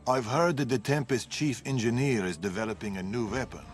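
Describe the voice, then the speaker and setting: An actor playing a guarded, weathered male veteran assassin from Persia in a video game, measured and serious. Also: mid-range voice, lightly acted. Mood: grave, instructing. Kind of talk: video game cutscene acting.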